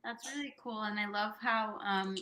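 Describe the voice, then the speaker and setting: A woman's voice: medium pitch, steady tone, moderate pace, sharp tone. A woman hosting a live-stream auction interview in an upbeat, casual way. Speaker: host.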